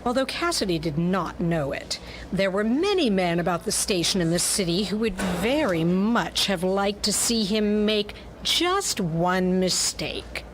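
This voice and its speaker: A woman's voice: mid-range voice, expressive, measured pace, noisy background. A woman delivering dramatic scripted narration for a pulp detective story, as in radio theatre.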